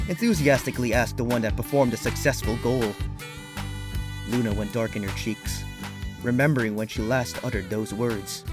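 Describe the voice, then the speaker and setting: A storyteller reading a scripted fictional narration. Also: medium pitch.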